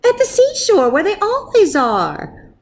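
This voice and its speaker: A woman's voice: very high-pitched, huge pitch swings, measured pace, pitched above the performer's own voice, exaggerated voice. A woman reading a storybook and voicing a matter-of-fact, teasing wife and mother who exclaims her lines. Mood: calling out.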